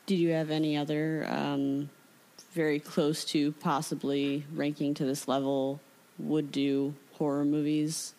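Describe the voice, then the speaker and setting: Female podcast interviewer, notably low register, casual and chatty, informal phrasing. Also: dark tone, low-quality recording. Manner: informal, with frequent "like".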